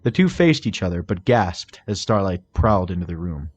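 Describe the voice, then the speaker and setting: A man's voice: low-pitched, very animated, normal speed, husky, lo-fi sound. A man reading fan fiction aloud as a scripted story narrator. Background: music.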